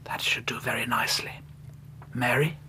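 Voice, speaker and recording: An actor playing a secretive, insistent suitor in a period radio drama, breathy and earnest in a formal way. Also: male voice, mid-range voice, steady tone, normal speed, pushed and bright, exaggerated voice. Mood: hushed persuasion. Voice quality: breathy, half-whispered. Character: secretive, insistent suitor, soft-spoken and intense.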